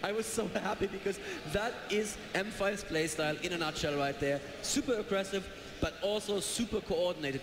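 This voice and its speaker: A man casting an esports match live, chatty and jokey, excitable. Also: high-pitched. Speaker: esports caster. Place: large event hall with live audience. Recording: echoey hall, noisy crowd.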